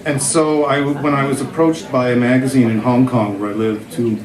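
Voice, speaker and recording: A man's voice: medium pitch, steady tone, normal speed, a crowd in the background. A middle-aged man, a writer and former cartoonist, reading his humorous column aloud at a literary event in a wry, self-deprecating way. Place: literary festival venue. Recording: echoey room.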